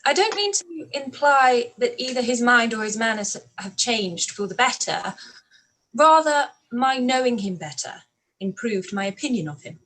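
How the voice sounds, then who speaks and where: An earnest, sharp-witted young woman, played by a woman in a live-read radio play. Her voice sits high and she makes her points in an articulate, pressing way. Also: expressive, normal speed, poor audio. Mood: cool.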